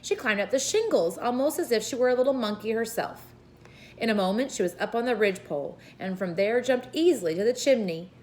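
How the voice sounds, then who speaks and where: A woman's voice: on the high side, animated, a little fast, a little mellow, slight background noise. A woman reading a children's story aloud as an informal storyteller. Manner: informal, with brief asides to listeners.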